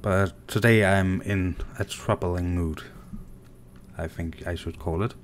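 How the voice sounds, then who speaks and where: A man from Denmark with a Danish accent, casually commenting on his gameplay while reading and answering viewer chat in a soft, unhurried way. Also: low-pitched, fairly even intonation, slight background noise. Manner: soft-spoken and unhurried, muttering while playing. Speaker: gaming streamer.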